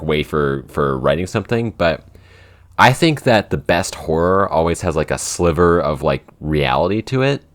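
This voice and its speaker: A man co-hosting a casual podcast Q&A, thinking aloud in a halting way as he explains his writing. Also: a bass voice. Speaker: podcast writer and co-host answering listener questions. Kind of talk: casual Q&A chat.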